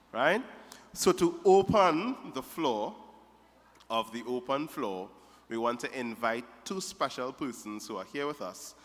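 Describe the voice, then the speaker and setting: A man's voice: mid-range voice, bright, forceful tone. A man emceeing a wedding reception, playfully teasing the guests table by table. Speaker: master of ceremonies. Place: large reception hall. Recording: reverberant hall.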